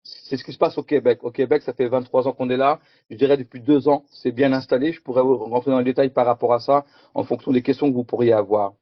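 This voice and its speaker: A man's voice: medium pitch, steady tone, quick pace, few pauses, dry recording, highs cut off. A middle-aged man from France giving a conference talk with slides, speaking hesitantly and repeating words. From France, Picardy. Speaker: conference presenter.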